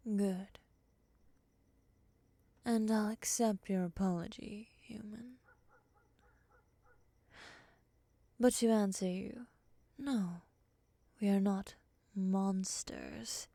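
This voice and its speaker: Woman playing a slow, whispery, possessive moth woman. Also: medium pitch, creaky, no room sound. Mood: stern, offended. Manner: hushed, slow, formal and condescending. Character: soft-spoken, menacing, possessive female moth-human monster. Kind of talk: ASMR roleplay.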